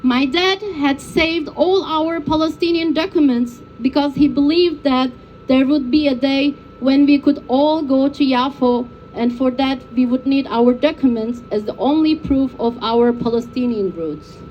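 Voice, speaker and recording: A woman from Turkey delivers a personal, emotional speech as a peace activist. Her voice is high and earnest. The recording is dull and muffled, with some faint noise. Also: a little slow. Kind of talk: prepared public speech, personal testimony.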